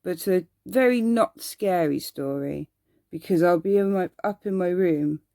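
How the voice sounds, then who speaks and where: An earnest little boy who adores scary monsters, as a woman reads him in a bedtime story. He speaks slowly and solemnly, like someone who knows all about it, with a creaky edge and a close, dry sound. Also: lowish voice, mellow, dry recording. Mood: matter-of-fact. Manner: solemn, matter-of-fact, knowing. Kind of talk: ASMR bedtime story character.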